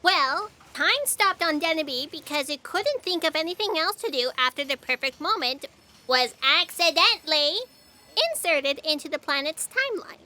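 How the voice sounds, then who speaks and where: A woman's voice: high voice, expressive, moderate pace, pushed and bright. A woman playing a chirpy, literal-minded fact checker in a comic sci-fi audio drama, pert, pedantic and defensive.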